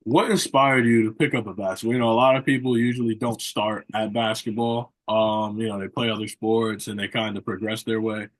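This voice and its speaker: A man's voice: fairly low pitch, steady tone, a little fast, few pauses. A man hosting a sports interview show, asking long rambling questions with many repeated phrases and "you know" fillers. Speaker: podcast host.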